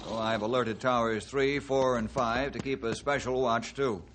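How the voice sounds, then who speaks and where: An actor playing a firm, authoritative forest ranger leader in a 1950s radio adventure drama. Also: male voice, mid-range voice, steady tone, normal speed, fairly bright tone, close to an everyday voice. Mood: brisk, commanding. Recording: noisy, clicking 1950s radio recording.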